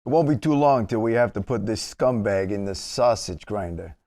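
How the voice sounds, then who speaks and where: A man's voice: on the low side, animated, normal speed, mellow. A man at a comedy table read playing a mocking, tough mob henchman. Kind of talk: table reading of a comedy script.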